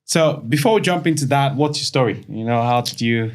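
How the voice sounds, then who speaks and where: A man's voice: medium pitch, animated, fairly quick. A man hosting a podcast interview, speaking casually with many fillers and frequent agreement. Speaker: podcast host.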